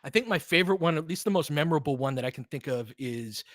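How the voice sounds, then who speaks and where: A man giving informal live basketball play-by-play as a partisan fan, speaking in terse, clipped phrases and getting excitable on big plays. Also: on the high side, fast, dry recording, clean studio sound.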